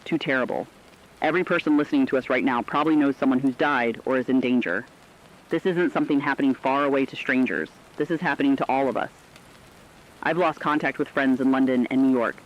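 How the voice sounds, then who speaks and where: A man's voice: mid-range voice, lo-fi sound, lightly acted. A man playing a troubled, earnest co-host in an apocalypse radio drama, speaking solemnly and reflectively. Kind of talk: scripted dramatic radio commentary.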